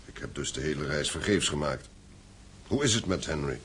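An actor playing a courteous, formal middle-aged gentleman, a worried father, in a radio drama. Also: a bass voice, lively intonation, a little fast, breathy edge, heavily acted. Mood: polite, concerned. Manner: formal, polite. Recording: old radio play, faint noise.

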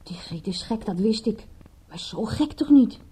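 An actress playing a flippant, defensive young woman in a radio drama, with a soft, husky voice. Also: medium pitch, lively intonation, unhurried. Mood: shocked, disbelieving.

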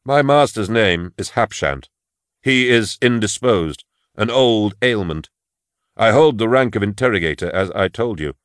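A man voicing a young male interrogator, measured and firm in his questioning. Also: on the low side, lively intonation, dry recording, studio quality, pitched above the performer's own voice.

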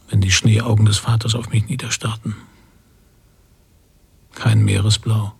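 An actor playing a haunted middle-aged father, recalling his violent childhood in a brooding radio drama monologue with a gravelly, husky voice. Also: fairly low pitch, full character voice.

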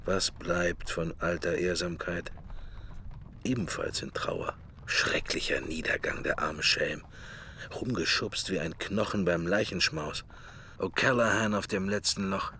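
Wistful middle-aged muser, deep register, clipped inner thoughts, radio drama, slight background noise. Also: male voice, measured pace, pushed and bright, exaggerated voice. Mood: musing. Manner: musing in short, clipped fragments. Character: wistful middle-aged man musing inwardly to himself.

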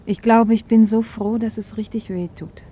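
An actress playing a tender, anxious young bride in a serious radio drama, speaking softly and haltingly. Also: female voice, fairly high pitch, fairly even intonation, husky. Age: young adult.

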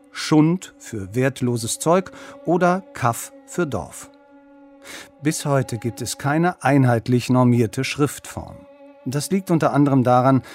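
A man reading an informative radio documentary script in a clear, steady manner. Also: medium pitch. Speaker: documentary narrator.

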